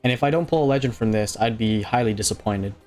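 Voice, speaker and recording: A man's voice: fairly low pitch, quick pace, a little mellow, faint music behind. Laid-back Hawaiian man chatting on stream. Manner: rambling, many fillers and restarts, reading chat aloud. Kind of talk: casual live stream chat.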